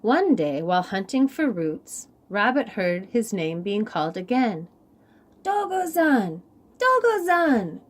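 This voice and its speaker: Slow, expressive woman reading a storybook. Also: mid-range voice. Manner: dramatic, deliberate, with pauses for young listeners. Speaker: children's story reader. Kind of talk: expressive storybook read-aloud.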